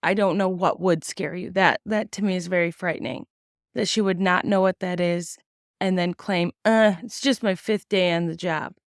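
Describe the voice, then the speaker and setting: Woman podcaster, lower voice, sick and slightly raspy, blunt and profane opinion talk. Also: steady tone, normal speed, no room sound, clean studio sound. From United States. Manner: blunt, swears freely, many ums. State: sick and tired, a bit raspy. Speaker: podcast host. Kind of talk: casual opinion commentary on news.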